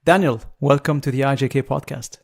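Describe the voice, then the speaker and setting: A man's voice: medium pitch, a little fast, gravelly. A man hosting a podcast, giving prepared introductions and asking interview questions in a formal, courteous manner. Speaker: podcast host.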